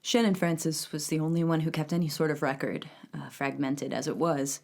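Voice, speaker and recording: A woman acting as an investigator looking back on a case in a documentary-style audio drama. Her voice is notably low, and she speaks in a measured, conversational, matter-of-fact way. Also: fairly even intonation, gravelly, darkish tone. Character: measured female investigator giving interview testimony.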